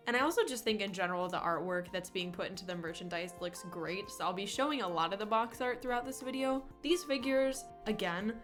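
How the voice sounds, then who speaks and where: A woman's voice: mid-range voice, a bit bright. A young woman in her twenties with a congested voice from a cold, chatting fondly and upbeat as she reviews toys for her vlog. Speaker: toy collector vlogger. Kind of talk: casual toy review vlog.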